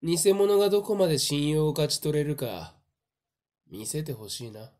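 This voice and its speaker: A man playing a smug young madman in a voice drama, suave, mocking and drawn-out in his delivery. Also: fairly high pitch, a little mellow, close to an everyday voice. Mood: calm, sly. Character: smug, theatrical young male madman relishing a deadly game. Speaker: voice actor. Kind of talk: anime-style voice drama acting.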